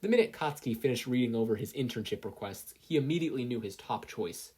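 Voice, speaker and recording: A narrator reading fiction in an expressive, scripted storytelling style. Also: medium pitch, fast, slightly rough. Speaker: audiobook-style fan fiction reader.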